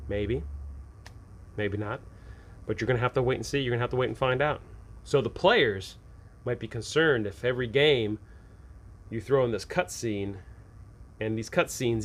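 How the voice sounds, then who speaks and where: A man hosting an advice show for game masters, talking casually and unscripted, often posing questions and answering them himself. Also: mid-range voice.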